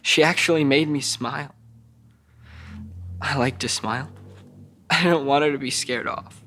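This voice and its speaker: An actor playing a troubled, childlike young man recounting abuse in a halting, stammering way at a table read of an audio drama. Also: a little high, sharp tone, slight background noise. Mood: desperate, haunted.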